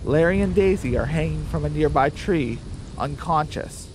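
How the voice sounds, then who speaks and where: A man narrating a scripted radio drama story in measured sentences. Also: a little high, darkish tone, a little hiss or hum.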